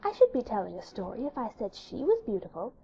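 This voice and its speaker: A woman's voice: fairly high pitch, wildly swooping pitch, fast, warm tone, slightly rough, highs cut off, soft background music, full character voice. A woman voicing a solemn, frank, imaginative little girl in an earnest way for an audiobook drama. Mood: earnest, puzzled. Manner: earnest, serious.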